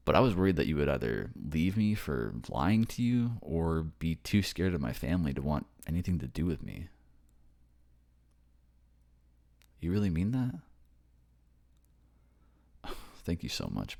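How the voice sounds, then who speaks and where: Man acting a gentle boyfriend with a low voice. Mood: relieved, teasing. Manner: gentle, reassuring, casual. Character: affectionate young boyfriend raised by a ghost family. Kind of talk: ASMR boyfriend roleplay audio.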